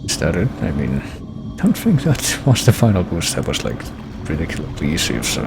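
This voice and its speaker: A man's voice: sits low, wildly swooping pitch, moderate pace, vocal fry, over music. A man streaming a video game, rambling and thinking aloud as he plays. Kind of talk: gameplay commentary.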